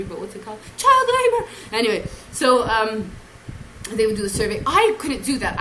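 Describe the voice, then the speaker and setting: A woman's voice: on the high side, fairly bright tone, slight background noise, low-quality recording. A young woman telling a rambling, giggly story in a chatty vlog, acting out the voices of the people she quotes. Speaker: vlogger.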